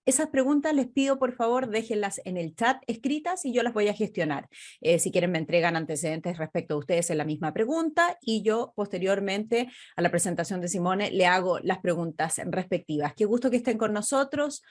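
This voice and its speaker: A woman's voice: mid-range voice, lively intonation, brisk. A woman moderating a university webinar over a video call, polished and welcoming as she introduces the guest. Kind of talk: formal introduction.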